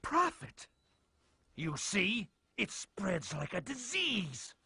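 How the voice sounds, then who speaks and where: An actor playing a scornful, excitable Meccan nobleman in a cartoon, theatrical and mocking. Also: high voice, drawn-out words, vocal fry, slightly rough, no room sound, full character voice. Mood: alarmed scorn. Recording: background music under the voice.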